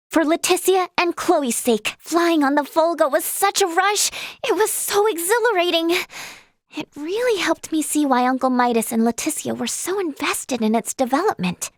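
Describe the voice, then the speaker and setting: A woman's voice: sits high, dry recording, clean studio sound, lightly acted. A woman playing a sweet, timid, earnest teenage girl healer in a video game, with a light, girlish voice and a polite, hesitant way of talking. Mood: earnest, resolute. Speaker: voice actress. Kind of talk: video game character voice lines.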